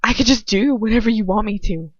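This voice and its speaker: A woman's voice: medium pitch, fairly quick, husky, dry recording, highs cut off, pitched above the performer's own voice, natural voice. A woman reading the lines of a defiant young witch who argues back in an accusing tone.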